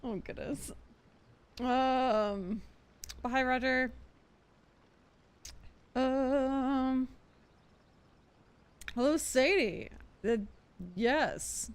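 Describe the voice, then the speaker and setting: A woman livestreamer chatting casually with viewers over a game, giggly and quick to laugh. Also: high voice, clear, resonant tone. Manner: giggly, bubbly, laughs often. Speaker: livestreamer host. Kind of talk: casual chat with live viewers while gaming.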